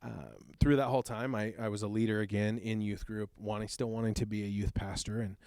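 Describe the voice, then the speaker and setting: A man in his thirties, a youth pastor, shares his personal testimony in an earnest, reflective, halting way. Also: fairly low pitch, flat delivery, darkish tone, clean tone, no room sound, studio quality. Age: 30s.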